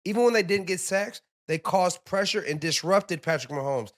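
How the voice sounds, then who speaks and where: Raspy hoarse guy, ex player, higher voice, laid-back football talk. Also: normal speed. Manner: laid-back, laughs easily. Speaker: former player and co-host. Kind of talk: casual sports chat.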